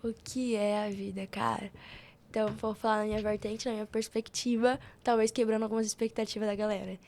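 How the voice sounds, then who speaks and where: A woman's voice: medium pitch, level tone, fairly quick, darkish tone. A young Brazilian woman telling personal stories casually as a podcast guest, slangy and often retelling conversations as dialogue. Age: young adult.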